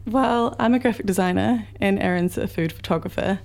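A woman with a croaky voice, a guest on a radio show, speaking in a friendly, slightly hesitant way. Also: mid-range voice, a little fast, darkish tone. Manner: friendly, a little hesitant, laughs easily. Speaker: guest, graphic designer and publisher. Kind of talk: radio studio interview.

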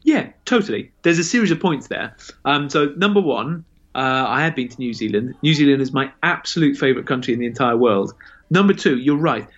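A man answering interview questions in a chatty, self-deprecating way, leaning on "you know" and "kind of". His voice sits in a middle pitch. The audio sounds a little muffled. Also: expressive, brisk. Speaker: magazine publisher guest.

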